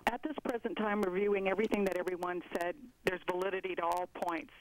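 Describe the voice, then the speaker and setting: A man's voice: sits high, flat delivery, quick pace, dry recording. A man calling in with a political opinion, talking in a wordy, formal, rambling way. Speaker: phone-in caller. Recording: dry telephone line.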